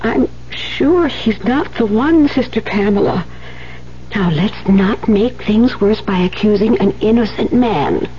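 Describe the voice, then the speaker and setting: Lady acting as motherly old nun, slow faltering breathy talk, dull old radio recording. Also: mid-range voice, warm tone, lightly acted. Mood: worried, gentle. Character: gentle, anxious, motherly older nun. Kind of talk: 1970s American radio drama acting. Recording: muffled, hissy 1970s radio recording with hum.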